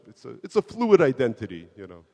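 A man born in the Soviet Union, a guest in an interview, telling stories about himself in a relaxed, self-mocking way with frequent laughs and restarts. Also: mid-range voice, fast talker. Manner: self-deprecating, laughs often, many restarts. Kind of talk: relaxed conversational storytelling. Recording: long hall reverb.

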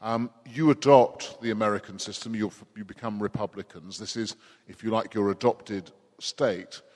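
A man's voice: fairly low pitch, steady tone, normal speed, a little mellow. Wry male interviewer, hall echo. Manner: long winding questions, dry wit. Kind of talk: probing interview questions. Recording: long hall reverb.

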